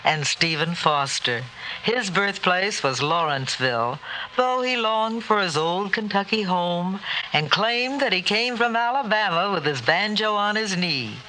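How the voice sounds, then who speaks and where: Bright, measured male radio narrator. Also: sits high, expressive. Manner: measured, formal storytelling. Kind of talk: scripted radio public-service narration. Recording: faintly noisy old radio recording.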